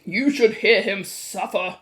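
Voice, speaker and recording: A woman's voice: medium pitch, slow, a bit bright. A woman voicing an angry, pompous middle-aged father in a storybook, blustering with indignation. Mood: gruff, scornful.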